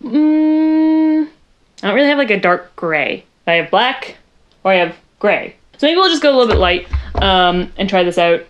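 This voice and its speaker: A young woman with a loud voice chatting casually in her bedroom while doing a makeup tutorial, rambling and poking fun at herself. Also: mid-range voice, big pitch swings, moderate pace, lo-fi sound. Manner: chatty, rambling, self-deprecating, talks to viewers while working. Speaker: makeup vlogger.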